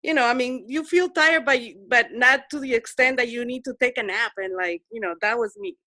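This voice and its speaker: A woman's voice: a little high, brisk, bright, forceful tone, no room sound. A woman in her forties from El Salvador, telling her own story on a video call in an earnest way and repeating herself for emphasis. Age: 40s. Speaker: Zoom meeting participant. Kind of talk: personal story in group video chat.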